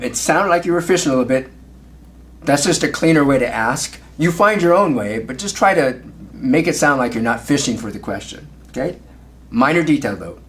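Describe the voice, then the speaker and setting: A man working as a sales trainer, coaching in an instructive way with praise and frequent check questions. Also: fairly high pitch. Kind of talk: coaching commentary on a phone call.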